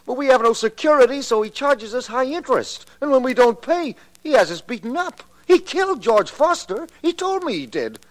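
A high, swooping male voice pleads as a frightened little barber. He is agitated and insistent, in the manner of an old radio detective drama. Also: big pitch swings, moderate pace, few pauses, rough recording. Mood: frightened pleading.

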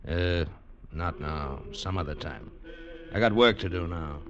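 An actor playing a menacing, bullying tough sea captain in an old radio drama. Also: male voice, deep voice, unhurried, dull audio. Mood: curt.

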